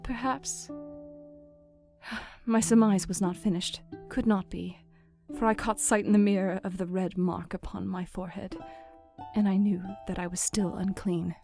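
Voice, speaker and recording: A woman's voice: mid-range voice, steady tone, a little slow, vocal fry, mellow, soft background music. A woman as a haunted Victorian wife. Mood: earnest. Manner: earnest diary narration. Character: young Victorian wife, narrating her journal, haunted. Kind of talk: audio drama reading.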